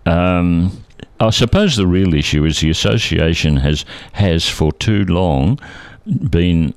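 An Australian man in his seventies with a very low, deep voice, recorded close and dry. He reminisces conversationally, phrasing things carefully and sometimes correcting himself. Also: slow pace, clear, resonant tone, dry recording. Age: 70s. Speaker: interview guest, retired air traffic controller.

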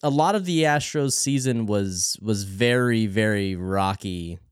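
A man co-hosting a podcast in casual banter, easygoing and relaxed. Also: on the low side, unhurried, clean tone, dry recording, studio quality. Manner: laid-back, easygoing. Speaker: podcast co-host.

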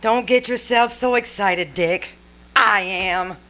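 A woman's voice: medium pitch, takes it slowly, sharp tone. A woman playing a sweetly teasing, wily wife in an old-time radio comedy, coy and mock-innocent. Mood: sly, soothing. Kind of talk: 1940s radio comedy acting.

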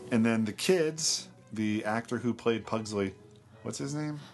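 A man's voice: medium pitch, measured pace, soft background music. A man co-hosting a podcast who chats casually about films. He rambles with enthusiasm and often trails off mid-thought. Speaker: podcast co-host. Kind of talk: casual film discussion.

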